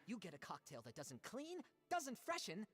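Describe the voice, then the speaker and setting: An actress playing an exasperated, emphatic young worker in a video game. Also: female voice, mid-range voice, normal speed, breathy edge, long reverb tail. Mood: explaining. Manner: expressive, emphatic. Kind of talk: game voice acting.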